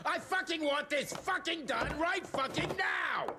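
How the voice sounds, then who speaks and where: An actor playing a pompous, foul-mouthed bully who rants and blusters in a video game cutscene. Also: male voice, very high voice, a little slow, noisy background. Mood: outraged. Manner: blustering, profane.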